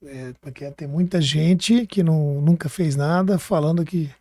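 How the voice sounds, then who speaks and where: A man's voice: on the high side, unhurried, warm tone, no room sound, studio quality. A man with a Brazilian accent hosting a podcast in a casual, colloquial way, often checking for agreement with short tag questions. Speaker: podcast host. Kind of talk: casual chat, interviewing.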